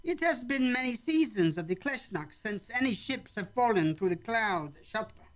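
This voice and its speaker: A calm and dignified alien villager , acted for a radio play, speaking in measured, formal phrases. The voice sits at a middling pitch and carries a stately, unhurried manner. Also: lightly acted. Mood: solemn, welcoming. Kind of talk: radio drama acting.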